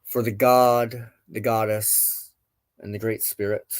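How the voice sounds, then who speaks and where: A man hosting a spiritual tarot reading, speaking reflectively in deliberate phrases with frequent pauses. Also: lowish voice, flat delivery, no room sound, clean studio sound. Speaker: host of a spiritual tarot show. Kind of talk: tarot card reading, reflective spoken commentary.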